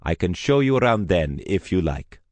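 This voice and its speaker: A nervous, elderly village priest, as a male narrator plays him, answers in polite, hesitant words. His voice sits low, with dry, close sound. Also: sing-song, moderate pace, darkish tone, no echo.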